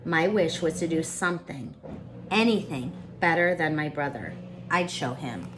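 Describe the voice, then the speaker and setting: Woman reading a kids book out loud, lowish voice, dramatic and expressive storytelling. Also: unhurried, noisy background. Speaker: read-aloud storyteller. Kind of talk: expressive children's storybook narration.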